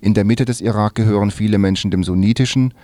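A man's voice: lowish voice, steady tone, fast, warm tone, no room sound. A man reading scripted news and articles as a radio newsreader.

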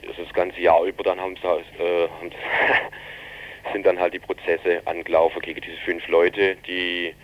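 A man gives an unscripted eyewitness account in an interview. His voice sits low, and the recording is somewhat dull and narrow. He rambles haltingly, breaking off and rewording as he explains. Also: little pitch movement. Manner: halting, rambling, keeps reformulating sentences. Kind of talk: spontaneous informal interview account. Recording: dull, band-limited radio interview recording.

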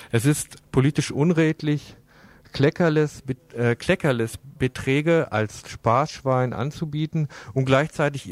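A man's voice: mid-range voice, no room sound. Man presenting radio news in a dry studio.

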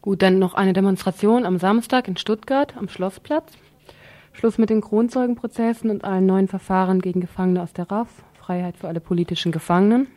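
A woman's voice: medium pitch, mellow, no echo. A woman presenting informal event announcements on local radio.

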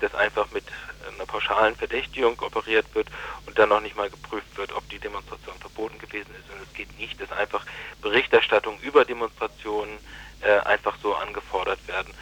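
A man giving unscripted legal commentary in an interview, formally worded and halting, with many filled pauses. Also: fairly low pitch, a bit bright, breathy edge, rough recording. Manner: halting, hesitant phrasing with frequent filled pauses. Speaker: interviewee from a radio station.

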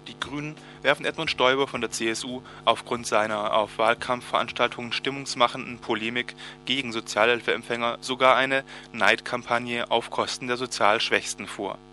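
A man's voice: mid-range voice, steady tone, normal speed, few pauses, sharp tone, faint music behind. A man reading a scripted news report as a radio presenter.

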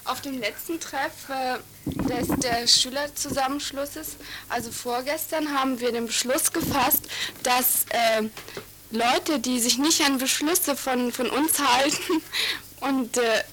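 Young woman, halting student activist. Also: high-pitched, fairly bright tone, slight background noise, poor audio. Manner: halting, many fillers and restarts, laughing asides. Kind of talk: radio interview.

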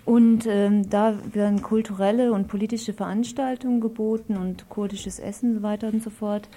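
A woman gives event listings on live radio. Her voice is mellow and of average pitch, and her style is informal. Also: steady tone, normal speed.

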